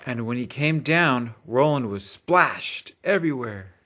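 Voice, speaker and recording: Male storybook reader, mid-range voice, expressive sing-song delivery for young listeners. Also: mid-range voice, a little slow. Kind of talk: expressive children's read-aloud narration.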